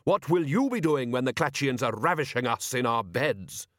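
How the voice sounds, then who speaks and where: A man's voice: medium pitch, lively intonation, normal speed, fairly bright tone, dry recording, studio quality, lightly acted. A man voicing an imperious, disapproving elderly lady, haughty and snappish, in an audiobook. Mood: indignant.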